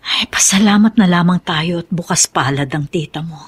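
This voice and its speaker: Husky, long-suffering wife and mother. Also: on the low side, normal speed. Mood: cautious, resigned. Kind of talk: radio drama acting.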